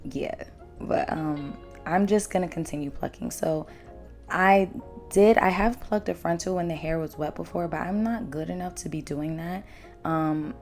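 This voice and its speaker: Hoarse low-voiced woman vlogger, gravelly. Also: darkish tone. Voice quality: naturally low voice. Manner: chatty, blunt, slangy. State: hoarse, lost voice after drinking. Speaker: beauty vlogger. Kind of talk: casual hair tutorial talk. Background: faint background music.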